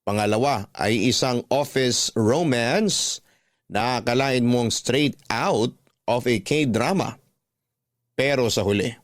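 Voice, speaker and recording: A man's voice: medium pitch, no echo. Man narrating, dry close studio sound. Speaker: radio drama narrator and host. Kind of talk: scripted first-person story narration, reading a listener's letter.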